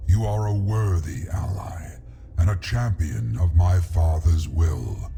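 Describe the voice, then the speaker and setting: An actor playing an ancient, weary male dragon in a fantasy video game, solemn and portentous, with a gravelly rumble. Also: warm tone, heavily acted. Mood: solemn resolve. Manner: slow, portentous, archaic phrasing.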